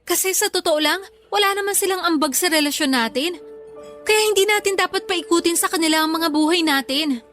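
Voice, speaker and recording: Filipina girlfriend in a radio drama, really high voice, earnest, disillusioned, confronting. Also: a little fast, fairly bright tone. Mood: serious, hurt. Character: hurt, disillusioned young girlfriend, a content creator. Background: music.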